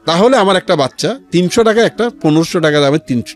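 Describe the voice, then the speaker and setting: Animated, higher-voiced Bangladeshi man explaining earnestly. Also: quick pace, faint music behind. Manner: earnest, explanatory, mixes in English phrases. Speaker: interview guest, founder of a charity resale platform. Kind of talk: conversational storytelling in a radio interview. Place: radio studio.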